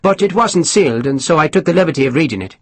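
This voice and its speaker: A man's voice: on the high side, lively intonation, fast, no room sound, voice pushed up. A man voicing a genial, inquisitive army officer who asks pointed questions. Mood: probing.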